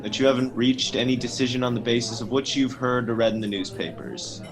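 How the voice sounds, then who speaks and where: A man playing a courteous, probing defense lawyer in a radio play, polite, measured and formal. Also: medium pitch, fairly even intonation, music in the background, lightly acted.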